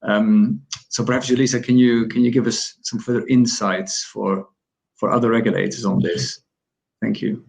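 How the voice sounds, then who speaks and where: A man's voice: fairly low pitch, little pitch movement, moderate pace, gravelly, darkish tone. A man moderating a panel discussion. He speaks politely and often repeats words as he starts his sentences.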